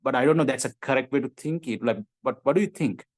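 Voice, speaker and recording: A man's voice: mid-range voice, fast. A young man hosting a casual interview, talking informally and somewhat hesitantly, with "like" peppered through his sentences. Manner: hesitant, informal, heavy use of "like". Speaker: show host, master's student.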